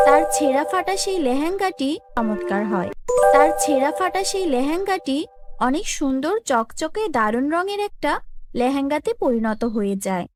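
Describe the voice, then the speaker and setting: A woman narrating a scripted cartoon fairy tale as storyteller. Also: high-pitched.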